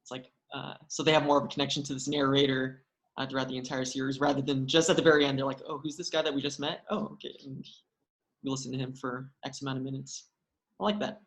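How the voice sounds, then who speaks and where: Casting: man, average pitch, appreciative but halting, informal feedback in conversation. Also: flat delivery, fairly bright tone, rough recording. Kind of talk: casual feedback discussion.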